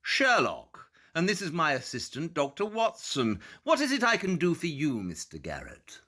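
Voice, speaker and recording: An actor playing a brilliant, impatient male detective with a British accent, speaking in a brisk, condescending way in an audio drama. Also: fairly high pitch, wildly swooping pitch, bright, forceful tone, heavily acted. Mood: cool.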